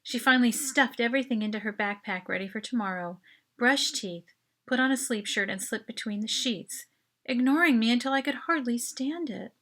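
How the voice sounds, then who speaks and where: A woman reading a first-person short story as its author, in an expressive, dramatic narration style. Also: on the high side.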